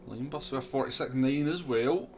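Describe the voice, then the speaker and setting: A man's voice: medium pitch, normal speed, background noise. A man hosting a gaming livestream, chatting casually and replying to viewers as he goes. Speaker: livestream host. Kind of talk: casual gaming stream chat.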